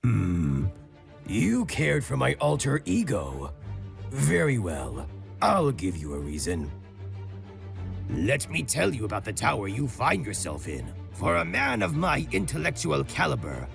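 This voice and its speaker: A man's voice: mid-range voice, huge pitch swings, measured pace, creaky, fairly bright tone, exaggerated voice. A man voicing a scheming older male mad scientist villain, theatrical and gloating. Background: music score.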